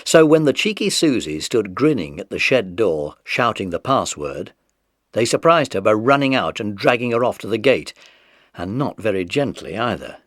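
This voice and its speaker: A man's voice: fairly low pitch, a bit bright, dry recording, clean studio sound. A man narrating a children's mystery story in a scripted, dramatized audiobook.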